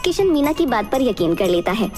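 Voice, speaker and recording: A woman's voice: on the high side, very animated, a little fast, music in the background. A woman narrating a scripted children's fairy tale.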